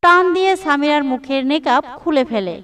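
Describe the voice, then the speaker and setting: A woman's voice: sits high, lively intonation, a little fast, fairly bright tone, distant mic. A woman narrator reading a scripted moral story for a cartoon.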